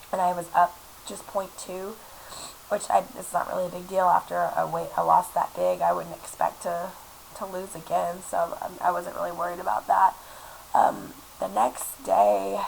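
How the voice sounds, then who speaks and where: Woman, 20s, congested, gravelly, chatty, rambling, laptop webcam mic, faint hiss, small apartment room. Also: mid-range voice, a little mellow, poor audio. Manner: chatty, rambling with many fillers. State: sick, congested with a cough. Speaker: vlogger. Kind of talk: casual chat to camera.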